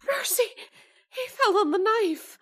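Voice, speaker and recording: A woman's voice: very high-pitched, animated, unhurried, fairly bright tone, heavily acted. A woman playing a frightened, protective landlady and mother in an old-time radio horror drama, urgent and dramatic. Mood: shrill relief, horror.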